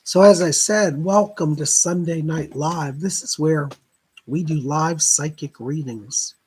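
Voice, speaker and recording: A man hosting a live psychic reading stream, hoarse from laryngitis, chatting casually and clearing his throat often. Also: on the high side, slow pace, darkish tone. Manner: chatty, greets viewers by name, keeps clearing his throat.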